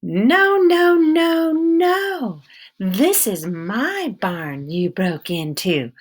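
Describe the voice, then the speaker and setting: A woman reading the part of an eccentric, sharp-tongued middle-aged woman with a wooden leg, who probes and mocks as she speaks. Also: a little high, sing-song, drawn-out words, slightly rough, voice pushed up, exaggerated voice. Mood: probing. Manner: mocking, probing, sharp-tongued.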